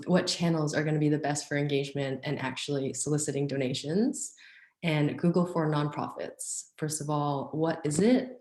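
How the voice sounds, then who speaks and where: Low-voiced woman presenting with a cold. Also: darkish tone, echoey room. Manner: upbeat, filler-heavy presenting. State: has a cold and cough. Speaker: nonprofit communications officer. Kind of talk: online conference presentation.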